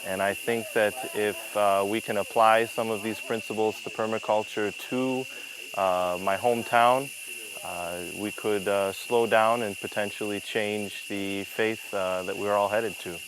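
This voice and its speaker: A man's voice: on the low side, fairly even intonation, unhurried, noisy background. A man from Michigan, a student, speaking thoughtfully and hesitantly in an interview. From Michigan, United States. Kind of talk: interview to camera.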